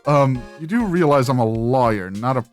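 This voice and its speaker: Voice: mid-range voice, lively intonation, normal speed, faint music behind, voice pushed down, natural voice. An amateur dubber voicing a weary, sardonic young male defense lawyer in a video game, dry and hesitant. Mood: dry, measured.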